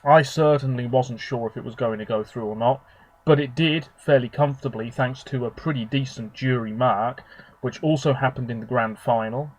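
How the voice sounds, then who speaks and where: Slightly hoarse man rambling about favourites. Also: medium pitch, normal speed, rough recording. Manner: rambling, chatty, opinionated. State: hoarse, sore throat. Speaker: video host. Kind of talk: casual solo commentary.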